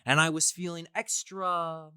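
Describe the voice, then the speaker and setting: Pompous, deliberate college guy played by a man. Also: a little high, level tone, bright, forceful tone, clean tone, no echo, studio quality. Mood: grandiose. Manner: pompous, theatrical. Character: smug, deluded young college man playing Joker, theatrically self-important. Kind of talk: dramatic first-person story reading.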